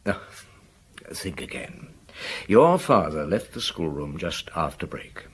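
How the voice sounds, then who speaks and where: Husky, low-voiced police inspector. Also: male voice, big pitch swings, heavily acted. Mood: patient. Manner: deliberate, probing, musing hums. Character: patient, probing chief inspector of police. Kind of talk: radio drama acting and first-person narration.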